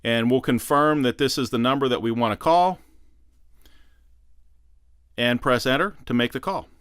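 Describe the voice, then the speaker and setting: Guy doing a how-to demo, step by step, smooth clear voice, mid pitch, no room echo. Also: normal speed, fairly bright tone, studio quality. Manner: methodical step-by-step explaining. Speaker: product demonstrator. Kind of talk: instructional how-to narration.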